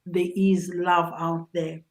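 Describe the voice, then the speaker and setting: A middle-aged woman with a Zimbabwean accent speaks slowly in a slightly low voice. She gives earnest advice in an interview, halting and repeating words as she looks for the right phrase. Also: level tone. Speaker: talk show guest. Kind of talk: personal advice in a talk show interview.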